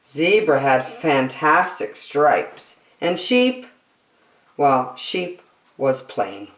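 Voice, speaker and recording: A woman with a lowish voice reads a children's story aloud. She is theatrical and unhurried, with very lively intonation. The sound is roomy and echoey. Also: recorded from a distance. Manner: theatrical, deliberate phrasing. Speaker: storytime reader. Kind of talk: children's picture book read-aloud.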